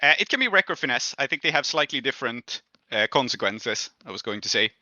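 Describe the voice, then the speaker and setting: A man talks casually as a tabletop game master. His voice is very bright and forceful with lively pitch, and the audio is dry but slightly dull. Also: fast talker, dry recording. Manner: frequent short affirmations while listening.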